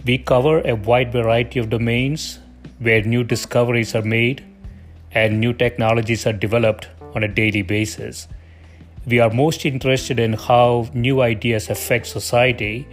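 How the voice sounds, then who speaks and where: A man hosting a podcast, reading a set introduction and then talking casually with many hesitations. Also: mid-range voice. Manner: hesitant, many fillers in conversation. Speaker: podcast host.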